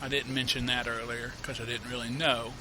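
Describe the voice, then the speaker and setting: A man's voice: medium pitch, flat delivery, brisk, background noise. Man with a cold narrating a farming game. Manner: rambling, self-correcting, many uh fillers. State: has a cold, sniffling. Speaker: gameplay tutorial host. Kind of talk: casual let's-play commentary.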